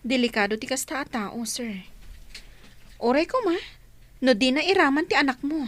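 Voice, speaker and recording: A woman's voice: high voice, animated, normal speed, fairly bright tone. A woman acting a respectful, cautious assistant advising her boss in an Ilocano radio drama.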